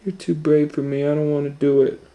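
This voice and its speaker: A man's voice: on the high side, little pitch movement, fairly quick, mellow, voice pushed up, close to an everyday voice. A man voicing a timid little sister in a children's storybook, speaking in a plaintive way. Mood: timid, protesting.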